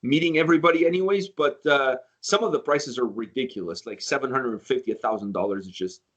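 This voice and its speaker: A man's voice: mid-range voice, fast talker, a bit bright, dull audio. A man from Canada hosting a casual interview, chatty and rambling with plenty of filler words.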